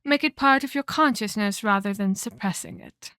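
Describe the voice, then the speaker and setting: A woman playing a condescending, sinister female vampire captor in an ASMR audio roleplay, speaking in a deliberate, theatrical, taunting way. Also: mid-range voice, bright, forceful tone, no echo, clean studio sound. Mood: intense, commanding.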